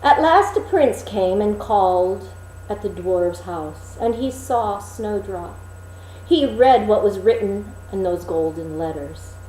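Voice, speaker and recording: A woman reading a fairy tale as a storyteller, with deliberate, dramatic phrasing and pauses. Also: mid-range voice, lively intonation, dark tone. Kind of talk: expressive, measured storybook reading. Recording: roomy echo, faint background noise.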